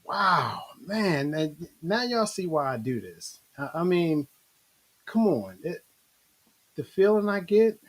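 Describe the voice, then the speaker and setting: Man, husky voice, talk show host, laid-back rambling delivery, lots of fillers. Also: fairly high pitch, takes it slowly. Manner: relaxed, rambling, frequent restarts and fillers. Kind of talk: casual live-stream talk.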